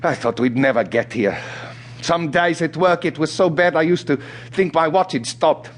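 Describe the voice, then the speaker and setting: An actor playing a restless, talkative South African ex-soldier in an audio drama, chatty and colloquial. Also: male voice, a little high, moderate pace, lightly acted. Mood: eager, joking. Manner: chatty, colloquial, punctuated by sighs and short laughs. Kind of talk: audio theatre acting.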